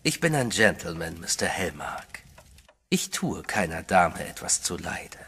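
Sinister gentleman villain for horror radio drama voiced by a man, smooth and mocking, lively pitch. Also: measured pace, exaggerated voice. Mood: menacing. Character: menacing gunman serving the undead, male adult.

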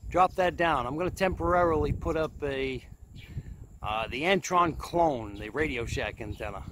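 A man's voice: mid-range voice, a bit bright, slight background noise. A man giving a plain-spoken, casual step-by-step narration of a hands-on DIY project as he films it. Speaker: DIY hobbyist video host.

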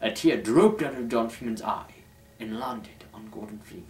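A man's voice: lowish voice, normal speed. A young man giving a pompous, formal, mock-dramatic story reading as host, recorded in a small room on a camera microphone. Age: young adult. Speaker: host and reader. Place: small dim room at home. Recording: roomy, distant camera microphone.

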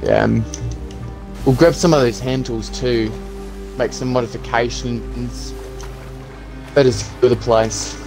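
A man's voice: medium pitch, steady tone, unhurried, creaky, pauses often, music in the background. Man playing a practical, hopeful apocalypse survivor. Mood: practical, planning. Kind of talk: improvised game roleplay skit.

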